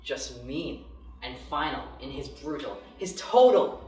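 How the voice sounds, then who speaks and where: A man's voice: mid-range voice, animated, measured pace, darkish tone. Man reciting poetry in an echoey room. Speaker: poetry reader. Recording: echoey room, distant mic.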